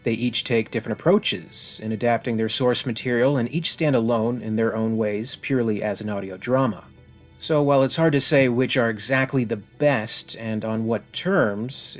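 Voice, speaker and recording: A man hosting a video review, reading scripted narration in a measured, articulate and formally phrased way. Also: mid-range voice, soft background music.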